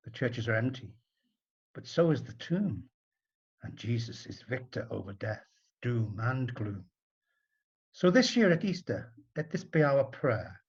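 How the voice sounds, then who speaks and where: Man, husky voice, expressive rhyming narration, storybook style, deliberate phrasing, slightly dull recording. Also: medium pitch.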